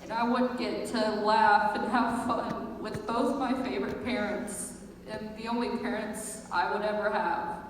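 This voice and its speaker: A woman's voice: medium pitch, fairly even intonation, a little slow, hardly any pauses. A teenage girl, a theater student, delivering an earnest personal monologue to an audience. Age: teens. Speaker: high school theater student. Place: large echoey room at a school performance. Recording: echoey hall from far off, faint noise.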